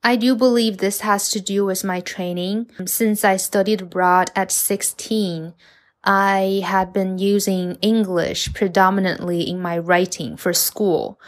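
Woman, measured and reflective. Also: mid-range voice. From China. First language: Chinese. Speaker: guest writer.

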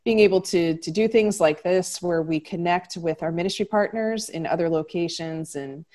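A woman's voice: on the low side, moderate pace, dark tone. A woman on a church missions staff speaking earnestly and with enthusiasm.

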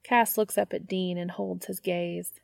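Low, creaky-voiced woman narrating fiction. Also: steady tone, unhurried, dry recording. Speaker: audiobook narrator.

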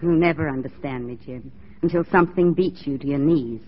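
A woman's voice: low-pitched, brisk, slight background noise, lightly acted. Actress as spirited, wry young frontier woman. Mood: tender, firm. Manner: teasing, self-possessed. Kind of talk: 1940s radio acting. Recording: dull 1940s radio recording.

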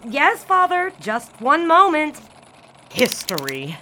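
A woman's voice: sits high, wildly swooping pitch, takes it slowly, noisy background, exaggerated voice. A woman playing an eager, dutiful daughter who narrates football in earnest, florid prose for a period audio drama. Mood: exasperated.

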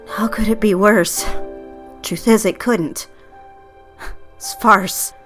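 A troubled young bride-to-be, music behind. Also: female voice, medium pitch, steady tone, takes it slowly, fairly bright tone. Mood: brooding, troubled. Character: young bride-to-be, guilt-ridden, defiant daughter. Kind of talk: audio drama, confessional first-person narration and dialogue.

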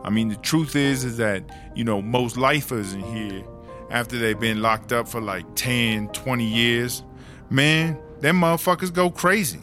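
A man's voice: medium pitch, a little slow, close to an everyday voice. A man playing a streetwise, long-serving woman prisoner who tells stories in slangy, sweary talk for a comedy audio drama. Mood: candid, chatty. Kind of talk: casual first-person storytelling in a comedy audio drama. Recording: music under the voice.

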